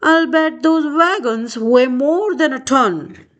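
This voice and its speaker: A woman reading a children's picture book aloud in an expressive storytelling style. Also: high-pitched, slow pace.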